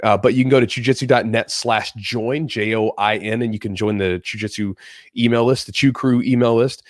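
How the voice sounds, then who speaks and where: A man's voice: mid-range voice, fairly even intonation, fairly quick, no echo, studio quality. A man hosting a podcast in a casual, rambling, off-the-cuff way, with a slightly congested, nasal voice that often repeats itself. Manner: rambling, conversational, repeats himself. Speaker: podcast host.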